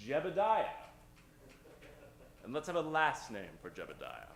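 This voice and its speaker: Male improv emcee, bright forceful voice, theatrical delivery, roomy stage sound. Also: on the high side, wildly swooping pitch, a little slow, creaky. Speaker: improv show emcee taking audience suggestions. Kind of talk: live stage improv hosting. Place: stage before a live audience. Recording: roomy live stage recording.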